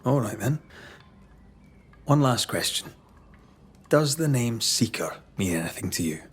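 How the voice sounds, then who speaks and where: An actor playing a blunt, steady ghost hunter in colonial America, wry and direct in old-fashioned phrasing. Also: male voice, medium pitch, a little slow, slightly rough. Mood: businesslike. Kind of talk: dramatic game dialogue.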